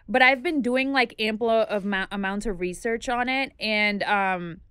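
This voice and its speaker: A woman in her thirties, a podcast guest and activist, speaking earnestly in a casual interview with frequent fillers, recorded on a studio boom mic in a small podcast studio. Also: a little slow, fairly bright tone, clear, resonant tone, no room sound. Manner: earnest, thoughtful, lists points with frequent fillers. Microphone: studio microphone on boom arm.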